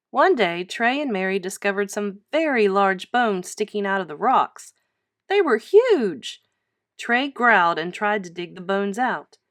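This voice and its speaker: A woman reads a children's storybook aloud in a teacherly way. Her mid-pitched voice is highly expressive and emphatic, moving up and down a lot, and the sound is dry and close. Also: dry recording.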